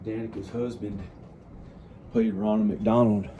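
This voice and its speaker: A man improvising a first-person story in a halting, simple, repetitive manner, with hesitant pauses. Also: lowish voice, level tone, muffled recording. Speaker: amateur storyteller narrating own improvised story. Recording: noticeable background noise. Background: ambient sound effects.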